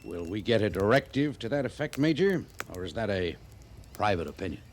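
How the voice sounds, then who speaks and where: An actor playing a guarded senior army officer, formal and clipped, in an old radio western. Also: male voice, on the low side, big pitch swings, brisk. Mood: guarded, challenging. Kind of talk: 1950s radio western acting. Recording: noisy old radio drama recording.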